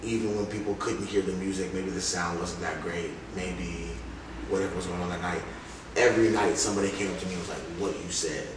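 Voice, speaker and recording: Deep-voiced man, distant in an echoey room. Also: steady tone, fairly quick. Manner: informal slang, often quoting others and punctuating with "like" and "you know". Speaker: rap artist being interviewed. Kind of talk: casual interview storytelling. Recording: very echoey room, distant mic, loud noise.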